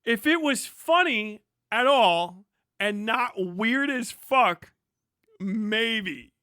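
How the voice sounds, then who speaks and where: A man's voice: high-pitched, expressive, very slow, sharp tone, smooth, dry recording, clean studio sound. A man giving casual, mocking live reaction commentary as a streamer, incredulous and heavily profane.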